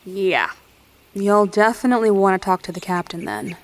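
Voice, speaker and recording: An actress playing a helpful, matter-of-fact military lieutenant in an audio drama, speaking briskly and plainly. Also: female voice, mid-range voice, noisy background, close to an everyday voice. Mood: businesslike, curious. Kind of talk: naturalistic audio drama dialogue.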